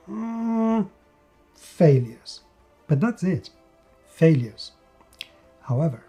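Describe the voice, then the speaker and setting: Mellow, higher-voiced man giving an emphatic review. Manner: emphatic, with repetition and dramatic pauses. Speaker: tabletop game reviewer. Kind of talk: conversational video review. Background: background music.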